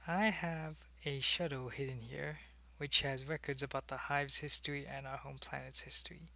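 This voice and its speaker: A man's voice: medium pitch, steady tone, normal speed, creaky, bright, forceful tone. A man playing an earnest, secretive alien agent in an ASMR roleplay, talking to a human in a hesitant, explanatory way. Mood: earnest, cautious. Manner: hesitant, explanatory, intimate one-sided conversation. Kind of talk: ASMR roleplay, acted monologue to the listener.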